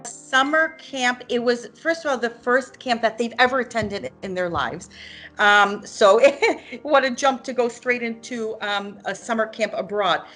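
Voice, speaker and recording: Woman in her forties from Hungary, parent testimonial, halting with frequent ums, faint music behind. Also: on the high side, a bit bright. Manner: hesitant, many ums and restarts. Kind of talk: unscripted testimonial.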